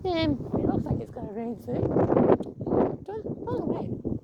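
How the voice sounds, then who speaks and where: A woman with a croaky voice walking outdoors, chatting casually to her viewers and reading signs aloud with many restarts. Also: sits high, big pitch swings, highs cut off, heavy background noise. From England. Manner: rambling, restarts words, reads signs aloud haltingly. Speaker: vlogger. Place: outdoors on a viaduct.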